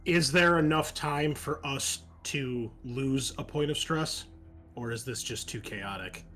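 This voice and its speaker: A man's voice: mid-range voice, measured pace, sharp tone, faint music behind. A man chatting casually as a player in a tabletop roleplaying game.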